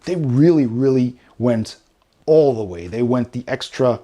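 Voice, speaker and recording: An enthusiastic man gives a casual walk-through review in a middle-pitched voice. He speaks as a hobby reviewer chatting to viewers, with a slightly husky edge to his tone. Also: lively intonation, measured pace, dark tone. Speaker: board game reviewer. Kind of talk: casual review commentary, walking through a book.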